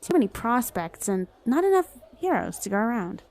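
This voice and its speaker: A woman's voice: on the high side, very animated, moderate pace, darkish tone, poor audio. A woman playing a flirty, self-justifying villain in an ASMR roleplay, talking in a casual, playful, sardonic way. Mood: teasing, amused. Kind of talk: acted ASMR roleplay monologue.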